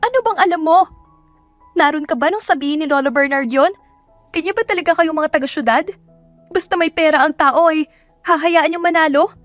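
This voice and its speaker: A woman's voice: high voice, fairly quick, long pauses, dry recording, soft background music, pitched above the performer's own voice, natural voice. A woman voicing a distressed, defiant young provincial woman in a dramatic audio story, earnest and indignant. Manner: pleading, rising into angry outbursts.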